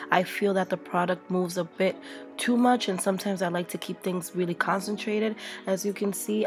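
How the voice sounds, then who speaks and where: Hoarse young woman, chatty tutorial narrator, over loud background music. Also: mid-range voice. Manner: chatty, playful, narrating each step. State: losing her voice from a cold. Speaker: makeup artist.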